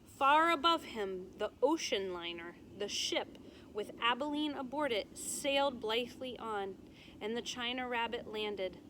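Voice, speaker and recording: A woman teacher reading a children's storybook aloud in a deliberate, expressive way, explaining things to her students. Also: fairly high pitch, a little hiss or hum, rough recording.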